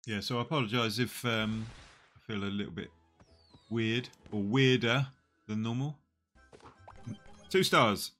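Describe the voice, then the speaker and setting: A man in his forties with a slightly nasal voice from a cold, quietly talking through a mobile puzzle game on a headset. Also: fairly low pitch, faint music behind. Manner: slow, muttering play-by-play, often trailing off. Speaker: gaming channel host. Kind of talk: casual mobile game commentary. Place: small room indoors.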